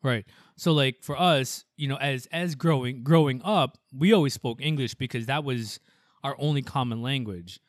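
A young man co-hosts a casual podcast, rambling along and mixing English with Tagalog. His voice sits at a middle pitch. The recording is dry and close. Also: normal speed, no room sound. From United States. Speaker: podcast co-host.

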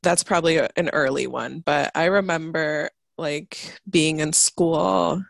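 Thoughtful woman guest with a gravelly voice. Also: sits low, a little slow, no room sound. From United States and Mozambique. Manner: thoughtful, searching for words, many fillers. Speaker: podcast guest. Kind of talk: reflective casual conversation.

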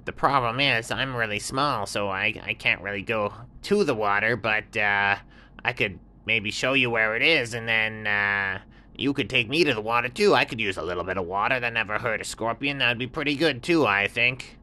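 A man's voice: on the low side, normal speed, pushed and bright, voice pushed up. A man voicing a small, chatty, cheeky scorpion with an informal, insistent way of talking in a children's story. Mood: casual, helpful.

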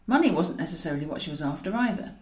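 Expressive British woman narrator, roomy sound. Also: low voice, rapid-fire. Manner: wry, expressive. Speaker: author and narrator. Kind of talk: scripted comic audiobook narration. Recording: roomy, echoing voice recording.

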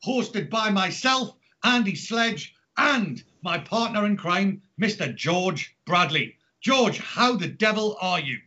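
Man, Geordie accent, slightly high voice, upbeat podcast host, chatty announcements, dull lo-fi call audio. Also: lively intonation, slow pace, hardly any pauses, sharp tone. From Newcastle, north-east England. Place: remote Skype call from home during lockdown.

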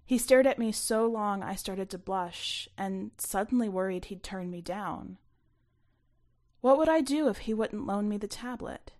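A woman reading scripted first-person fiction aloud, expressively, with some hushed asides. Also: mid-range voice, unhurried. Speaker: story reader.

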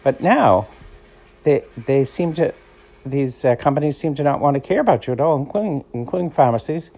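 A man's voice: medium pitch, lively intonation, moderate pace, darkish tone. A middle-aged man with a Boston accent, hosting a casual solo podcast in a meandering, conversational way. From Boston area, USA. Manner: meandering, conversational, easygoing storyteller. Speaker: podcast host.

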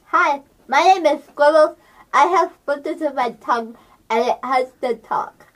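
Woman putting on a naive, high-voiced talking cat. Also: unhurried, fairly bright tone. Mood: pleading. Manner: childlike, eager, guileless rambling. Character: naive, chatty talking cat begging for sweets.

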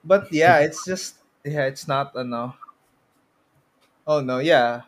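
Male podcast co-host, Filipino accent, slightly high register, loose rambling conversational delivery. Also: slow. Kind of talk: casual chat about films and games.

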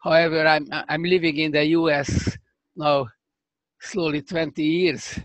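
An older man with a Hungarian accent, speaking as a professor guest in a remote interview, halting and restarting as he searches for words. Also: fairly high pitch, flat delivery, fairly bright tone, dry recording.

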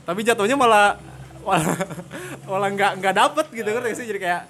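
A young man from Purwakarta, Indonesia, co-hosting a casual joking chat, telling stories in slangy, animated, giggle-prone fashion. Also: high voice, fairly quick. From Purwakarta, West Java, Indonesia. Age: young adult. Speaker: podcast host.

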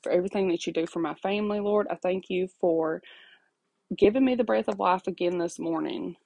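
A woman's voice: on the low side, fairly quick, vocal fry, mellow, no room sound. A woman, hosting a casual faith podcast from home, chatty and apologetic, laughing often and asking "okay?", her voice stuffy and sniffly. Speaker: podcast host. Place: at home alone.

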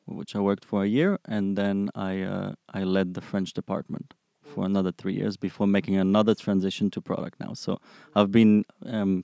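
Interview guest: man in his twenties from Germany, lowish pitch, gravelly fry, conversational. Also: mellow, no room sound, clean studio sound. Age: 20s. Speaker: interview guest, startup employee.

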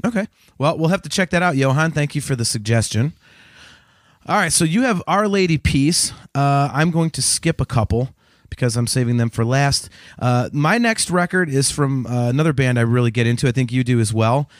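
A man's voice: medium pitch, expressive, brisk, dry recording. Casual man podcast host, close dry sound. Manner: informal, conversational. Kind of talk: casual chat about music albums.

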